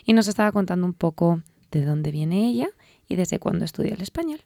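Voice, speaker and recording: A woman hosting a bilingual radio morning show, lively and teasing with her co-host and her guest. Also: fairly low pitch, fast talker, gravelly, dry recording, studio quality. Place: radio studio.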